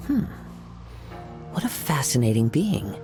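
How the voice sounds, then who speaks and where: An actor playing a calm, poetic young wanderer in a video game, musing and flowery in his manner. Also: medium pitch, a little slow. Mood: serene, musing. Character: serene, poetic young male wandering swordsman. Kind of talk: video game character acting. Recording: game music behind voice.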